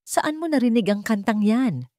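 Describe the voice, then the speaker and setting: A stern, suspicious old gentleman acted out by a woman in a dramatic audio story. He is forceful and demanding, full of emotion, the pitch pushed up above plain narration in a dry recording. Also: expressive, moderate pace, no room sound, natural voice. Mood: angry, shaken. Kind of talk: audio story character dialogue.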